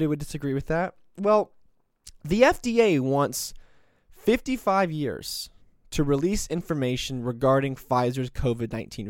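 A man's voice: medium pitch, no room sound, clean studio sound. A man in his twenties hosting a solo podcast, talking in a sarcastic, combative, rambling way. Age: 20s. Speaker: podcast host. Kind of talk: opinionated solo commentary.

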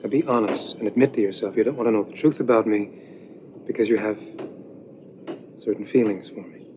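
An actor playing a smooth, scheming, brazen young heir in a 1980s television soap drama. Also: male voice, lowish voice, a little fast, dark tone, slight background noise, close to an everyday voice. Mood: quiet, insinuating. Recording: muffled TV soap clip under music.

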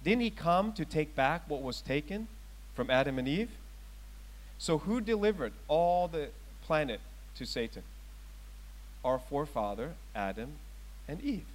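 A middle-aged male pastor preaching with a fairly high-pitched voice. He leans hard into scripture readings and fires rhetorical questions at his listeners. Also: animated, unhurried, pauses often, rough recording. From Thailand. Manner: emphatic, rising loud on scripture readings and questions; asks the congregation rhetorical questions. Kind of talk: sermon.

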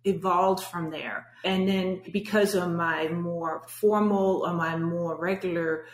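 A woman's voice: lowish voice, steady tone, takes it slowly, soft background music. Danish-accented woman in a roomy echo. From Denmark. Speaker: business coach, guest. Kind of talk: casual interview conversation.

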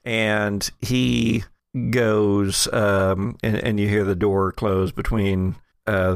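A man narrates a tabletop game as its game master, improvising as he goes. He is slow and halting, searching for words, with creak in his voice and a dry, close recording. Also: lowish voice, no room sound.

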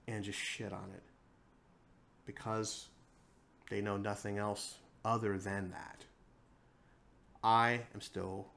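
A man at a middle pitch delivers a passionate solo rant on his own podcast. He leans hard on repeated lines and lets his pitch and volume surge and drop. The sound comes from a single mic on a laptop. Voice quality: self-described monotone voice. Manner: emphatic and repetitive, with big swings in pitch and loudness. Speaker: podcast host. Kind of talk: impassioned solo podcast monologue.

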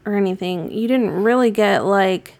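A woman's voice: medium pitch, moderate pace, a little mellow. A woman from New England, co-hosting a podcast and chatting casually, rambling with plenty of fillers and offhand swearing. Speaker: podcast co-host. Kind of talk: casual chat.

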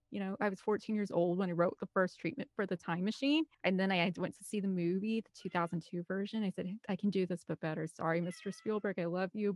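A woman's voice: medium pitch, a little mellow, no room sound. Enthusiastic American woman, dry close sound. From American Midwest. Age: 30s. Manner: enthusiastic, hyper, rambling. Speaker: guest, film composer and director. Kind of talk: casual interview talk.

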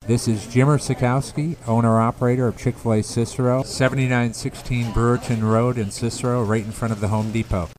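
An American man giving an earnest, measured testimonial as a business owner in a radio advertisement. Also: medium pitch, flat delivery, noisy background. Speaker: restaurant owner-operator in a radio ad. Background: guitar music bed.

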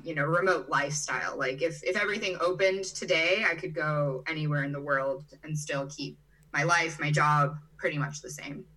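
A young woman in her twenties, from Brazil and Chicago, talks casually as an interview guest. Her voice is bright and fairly low-pitched, and she peppers her sentences with "like" and "you know". She sits a little back from the microphone. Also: distant mic, low-quality recording. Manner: chatty, frequent "like" and "you know" fillers. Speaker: interview guest, digital nomad.

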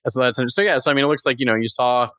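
A man hosting an interview, chatty and quick to laugh, using a lot of "like" fillers. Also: medium pitch, sharp tone, no room sound, studio quality. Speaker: interviewer host. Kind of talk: casual interview chat.

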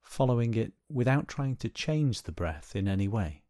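A man gently leading a guided body scan meditation, giving unhurried instructions with pauses. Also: medium pitch, animated, dry recording, clean studio sound.

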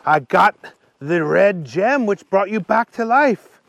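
A man's voice: sits high, expressive, slow, gravelly, fairly bright tone, muffled recording, heavily acted. A man voicing an eager, kindly young adventurer, earnest and colloquial, in a children's story. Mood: insistent.

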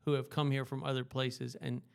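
A man hosts a relaxed one-on-one interview. His voice has a lot of gravel and fry at a middling pitch. He is chatty and quick to cheer his guest on. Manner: chatty and encouraging, quick to praise. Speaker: interviewer, host. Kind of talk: casual conversational interview.

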